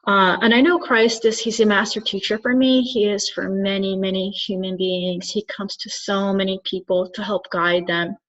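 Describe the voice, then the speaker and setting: A woman from Turkey, a spiritual coach, talking alone to camera in an earnest, soft-spoken way, often pausing and restarting to find her words. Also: mid-range voice, steady tone. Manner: halting, searching for words, frequent restarts. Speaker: spiritual channeler and soul coach. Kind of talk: reflective solo monologue to camera. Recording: slightly dull lo-fi recording.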